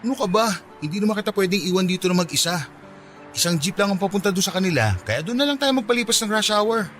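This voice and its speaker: An actor playing an eager, caring, shyly smitten young office worker in a Filipino radio drama, with a Filipino accent. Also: male voice, high voice, expressive, fast, a bit bright, faint music behind. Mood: insistent. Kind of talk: radio drama acting in Taglish.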